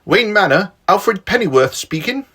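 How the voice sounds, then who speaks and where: A man's voice: sits high, big pitch swings, normal speed, heavy background noise. A man voicing a polite, formal elderly butler in a hobby skit. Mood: courteous, helpful. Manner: formal, courteous, proper.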